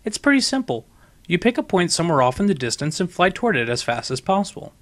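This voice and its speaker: A man's voice: high voice, fast. Man voicing a cocky young female pegasus flier. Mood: matter-of-fact, confident. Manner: cocky, excitable, emphatic. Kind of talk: fan fiction audiobook character voice.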